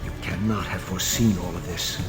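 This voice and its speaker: An actor playing a bitter, brooding undead vampire wraith in a video game, speaking in formal, scornful, archaic phrases. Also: male voice, low voice, steady tone, a little fast, over loud music, natural voice. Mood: defiant, contemptuous. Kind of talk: dramatic video game acting.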